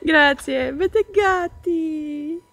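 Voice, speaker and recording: A woman's voice: very high voice, lively intonation, drawn-out words. A young woman travel vlogger chatting casually and expressively outdoors, with an enthusiastic manner. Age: young adult. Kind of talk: casual vlog chat. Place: outdoors in a hilltop town. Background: background music.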